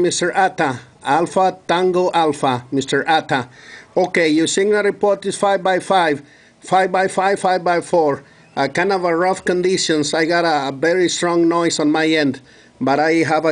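Higher-pitched man making a radio contact. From Mexico. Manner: courteous, repeats key details. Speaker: radio operator. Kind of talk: two-way radio contact with call signs.

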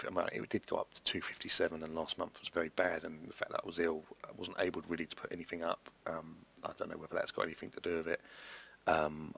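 Middle-aged YouTuber, low gravelly voice gone hoarse, grumbling casual commentary. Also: male voice, a bit bright. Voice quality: gravelly, husky. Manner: rambling, exasperated, many restarts. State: hoarse, recovering from flu. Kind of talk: casual screen-recorded commentary.

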